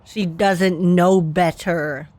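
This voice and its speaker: A woman's voice: lowish voice, steady tone, drawn-out words, a little mellow, no echo. A young woman streaming a game, joking sarcastically and laughing often. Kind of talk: casual reaction commentary.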